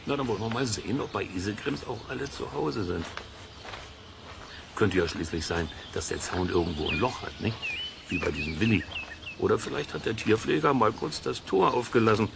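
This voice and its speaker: A curious, stubborn nature sleuth in a children's audio drama. His voice is a man's, crackly with fry, and he mulls things over half to himself. Clear outdoor animal ambience sits behind him. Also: lowish voice, brisk. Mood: musing. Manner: musing, muttering to himself. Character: curious, stubborn male amateur nature sleuth named Peter. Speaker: main character of the series. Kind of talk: German children's radio play acting.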